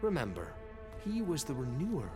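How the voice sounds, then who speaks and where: An actor playing a haughty, imperious self-proclaimed heir in a video game, with formal, theatrical diction. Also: male voice, mid-range voice, huge pitch swings, normal speed. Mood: grandiose. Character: pompous, imperious male leader claiming to be a revered heir. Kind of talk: dramatic video game acting. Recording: game music behind the voice.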